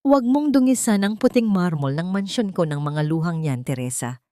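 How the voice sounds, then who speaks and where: A woman's voice: on the low side, lively intonation, moderate pace, mellow, dry recording, studio quality, close to an everyday voice. A woman voicing a spoiled young rich mistress of a mansion, sneering, taunting and imperious, in an audiobook drama. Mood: contemptuous.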